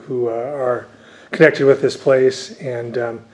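A man's voice: mid-range voice, steady tone, slow pace, a little mellow. A man who grew up speaking Dutch, a visiting scholar and author answering interview questions at length, with many fillers and restarts.

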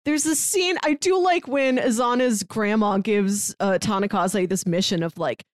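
A woman's voice: mid-range voice, normal speed, dry recording, clean studio sound. A woman co-hosting a podcast, chatting casually and expressively as she discusses stories. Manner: acts out characters' lines while retelling. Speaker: podcast co-host reviewing comics.

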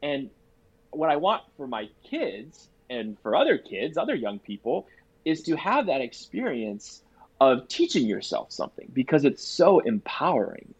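A man's voice: mid-range voice, very animated, a little slow, husky. A young man with a nasal, congested voice from a cold, talking earnestly and casually on a podcast, repeating phrases for emphasis. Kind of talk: casual conversational podcast chat. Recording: dry, slightly dull sound.

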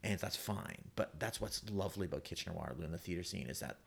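Fast-talking man with a low voice. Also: animated, gravelly, fairly bright tone, slightly rough. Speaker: playwright guest. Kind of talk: casual panel discussion.

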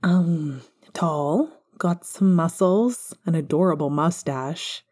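Woman voicing a cocky, lovestruck man, mellow. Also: low voice, slow pace. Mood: smitten. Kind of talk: audiobook fan fiction character dialogue.

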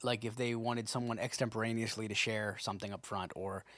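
A man in his forties, a pastor co-hosting a casual talk show, speaking in an animated, emphatic way. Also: lowish voice, brisk, dry recording, clean studio sound. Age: 40s. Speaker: pastor, co-host. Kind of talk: casual podcast chat.

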